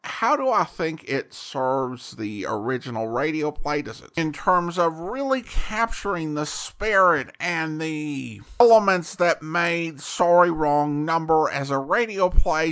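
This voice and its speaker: A man giving measured, conversational and opinionated review commentary as the host of a show. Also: a little high, a bit bright. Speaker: host reviewing old radio drama. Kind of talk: semi-scripted review commentary.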